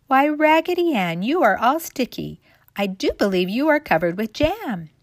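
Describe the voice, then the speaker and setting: A woman's voice: medium pitch, sing-song, moderate pace, no echo, studio quality, close to an everyday voice. A woman voicing a puzzled, scolding mistress of some dolls in a storybook, chiding and exclaiming.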